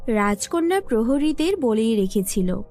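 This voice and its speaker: High-pitched woman storyteller. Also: sing-song, measured pace, darkish tone, soft background music. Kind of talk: scripted children's fairy tale narration.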